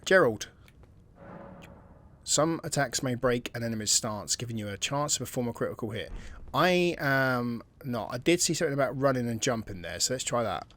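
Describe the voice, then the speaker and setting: A man's voice: medium pitch, animated, moderate pace, a bit bright, a little hiss or hum. A man giving casual, chatty commentary while he plays a video game, thinking aloud and reading tutorial text as he goes. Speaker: gaming channel host.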